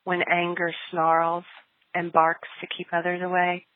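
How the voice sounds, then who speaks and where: A woman with a fairly low voice leads a guided prayer. The recording is thin and narrow, like it came over a phone line. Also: completely flat delivery, measured pace, no room sound. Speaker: prayer leader.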